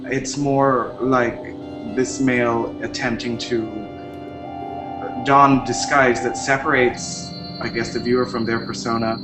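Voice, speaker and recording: A man from Barbados, an artist, talking thoughtfully about his practice with pauses and restarts. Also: medium pitch, little pitch movement. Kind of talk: interview talk about his art practice. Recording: echoey room with music behind.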